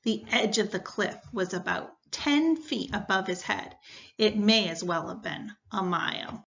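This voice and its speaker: Bright-voiced woman reading slowly aloud. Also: medium pitch, expressive, gravelly. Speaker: teacher reading a story to students. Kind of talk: read-aloud storytelling with lesson instructions. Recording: dull, lo-fi sound.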